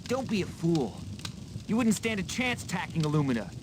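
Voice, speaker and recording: A man's voice: sits high, very animated, fairly quick. A man playing an indignant, combative warrior in a fantasy video game cutscene. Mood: forceful. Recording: noisy game cutscene with background ambience.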